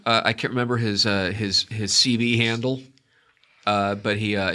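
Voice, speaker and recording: A man's voice: fairly low pitch, bright, forceful tone. Congested man running a tabletop game. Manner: halting, many "uh" fillers. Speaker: dungeon master.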